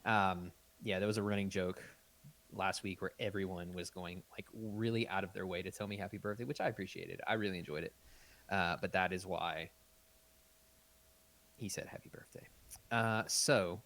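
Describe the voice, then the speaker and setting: A man's voice: fairly low pitch, fairly bright tone. A man chatting casually as the host of a podcast. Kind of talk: casual live podcast chat.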